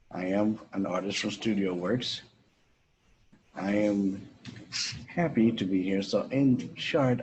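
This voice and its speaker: A man's voice: fairly low pitch, darkish tone, muffled recording, a little hiss or hum. A man from Louisville, Kentucky, introducing himself as an artist at a public event, speaking earnestly and formally and repeating his phrases. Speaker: artist at an art studio program.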